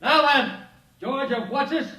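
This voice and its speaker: Barking, high-strained police chief. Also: male voice, measured pace, exaggerated voice. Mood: commanding. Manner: shouted, clipped orders. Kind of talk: 1950s radio drama acting. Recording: echoey old radio scene.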